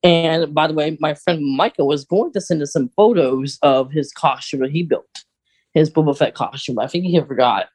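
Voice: mid-range voice, moderate pace, darkish tone, breathy edge. A podcast co-host chatting casually and speculating as a fan, often hesitating and thinking aloud.

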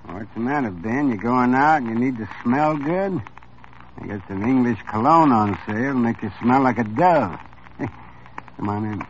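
A man's voice: medium pitch, animated, measured pace, natural voice. A gushing, theatrical cologne seller, as a man acts him out, whose voice swoops up and down as he fusses over a customer. The sound is muffled, like an old radio broadcast, with a faint hiss under it. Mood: effusive. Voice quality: gushing, showy. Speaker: shop owner. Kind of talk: 1950s radio drama acting.

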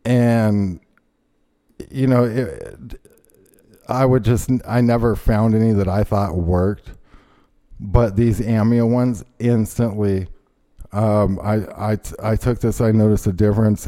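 A low-voiced man hosting a podcast, with a mellow, unforced tone. He rambles through casual stories, stopping and restarting with lots of ums. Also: steady tone, slow pace. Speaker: podcast host. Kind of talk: casual chat and ad read.

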